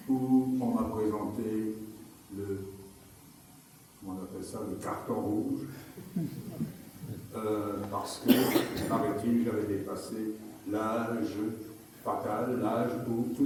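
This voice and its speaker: A retired teacher in his seventies speaking at a public meeting, wry and digressive, pausing to find words. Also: on the low side, mellow. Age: 70s. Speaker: retired maths teacher, candidate. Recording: echoey hall, mic far off, faint noise.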